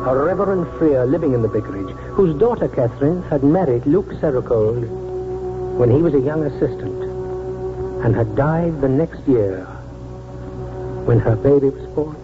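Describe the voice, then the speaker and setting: Tinny vintage radio, loud music, man acting as wistful aging country doctor, highish voice, measured poetic narration. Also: close to an everyday voice. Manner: measured, poetic delivery with deliberate pauses. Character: wistful aging village doctor remembering his lost young wife. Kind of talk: 1940s radio drama acting, first-person narration.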